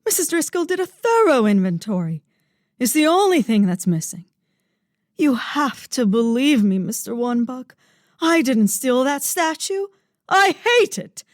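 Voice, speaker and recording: High-voiced melodramatic noir widow. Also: female voice, sing-song, a little slow, no room sound, studio quality. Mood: distraught, pleading. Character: melodramatic, distraught noir widow, breathlessly sincere. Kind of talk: old-time radio melodrama acting.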